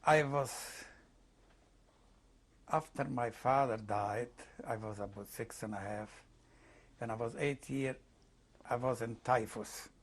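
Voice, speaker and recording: Slow-speaking elderly man with Hungarian accent. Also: medium pitch. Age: 80s. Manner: halting, searching for words. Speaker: interviewee. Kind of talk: oral history testimony.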